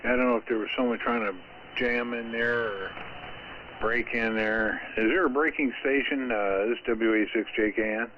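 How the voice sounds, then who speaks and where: A man chatting casually as an amateur radio operator, in a rambling, halting way. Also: mid-range voice, steady tone. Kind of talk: casual ham radio chat. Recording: dull, faintly noisy amateur radio transmission.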